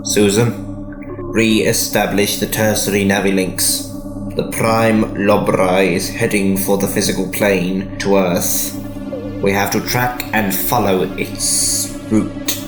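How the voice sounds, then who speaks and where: Grave alien time traveller in an audio drama, lowish voice, takes his time, commanding, music underneath. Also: level tone. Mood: grim, urgent. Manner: measured, authoritative, clipped orders.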